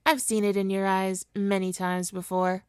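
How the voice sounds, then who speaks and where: A woman playing a smug, seductive supervillain in an ASMR roleplay, coaxing and teasing her hero nemesis with deliberate, hypnotic talk. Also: medium pitch, little pitch movement, smooth, no room sound, studio quality, lightly acted. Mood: soothing, hypnotic.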